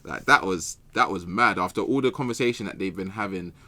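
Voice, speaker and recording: A deep male voice, recorded close and dry. He talks like a podcast pundit among friends, colloquial and slangy, doubling back on phrases to press the point. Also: a little fast, pushed and bright, no echo. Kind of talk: casual sports chat.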